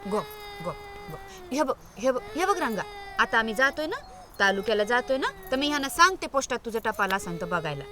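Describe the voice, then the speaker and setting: A woman's voice: a little high, big pitch swings, fairly quick, crowd noise. An actress playing a kind village mother who soothes a crying boy, speaking in a coaxing, motherly way. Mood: soothing.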